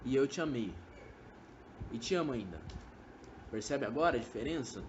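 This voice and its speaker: A slightly noisy amateur radio drama recording of a young man playing a melancholic Brazilian lover. He is brooding and insinuating, with a morbid calm and a medium-pitched voice. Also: normal speed, dull audio, natural voice. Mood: tender, bitter. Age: young adult. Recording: amateur recording with background noise.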